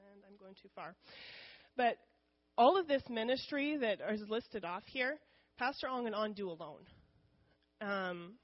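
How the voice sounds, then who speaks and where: Casual woman, muffled recording. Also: mid-range voice. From United States. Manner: conversational, frequent ums, candid. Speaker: missionary speaking to her church. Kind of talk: informal church missions presentation.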